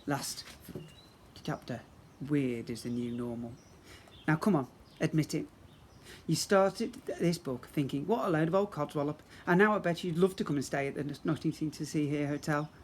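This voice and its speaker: A man's voice: on the high side, normal speed. A man narrating a children's story expressively, as a teacher reading to young pupils. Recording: faint background noise.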